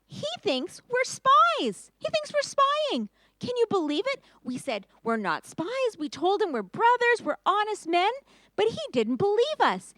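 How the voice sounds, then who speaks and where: A woman's voice: steady tone, moderate pace, a bit bright, no echo. A woman voicing a group of panicked grown brothers in a storybook retelling, talking in frantic, gasping bursts. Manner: frantic, gasping, high-pitched.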